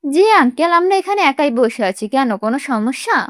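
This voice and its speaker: A woman's voice: high-pitched, animated, a little fast, no echo, studio quality. A woman voicing a restless, fibbing young schoolgirl in a moral cartoon. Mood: polite, curious.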